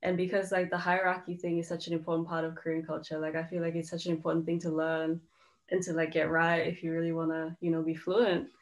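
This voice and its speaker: A woman's voice: sits low, completely flat delivery, fast. A woman hosting a casual interview over a video call, asking questions with many filler words. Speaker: podcast host.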